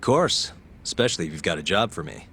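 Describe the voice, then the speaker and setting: A man's voice: low voice, big pitch swings, a little fast, creaky, pushed and bright, a little hiss or hum, exaggerated voice. An actor playing an easygoing, streetwise male private detective in an informal way for a video game dub. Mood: relaxed, casual.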